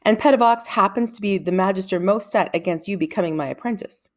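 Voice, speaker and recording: A woman's voice: mid-range voice, fast talker, lightly acted. Woman reading brusque, imperious old male wizard. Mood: stern. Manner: stern, formal, clipped. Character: brusque, imperious older male wizard, testy but curious. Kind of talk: children's storybook read-aloud.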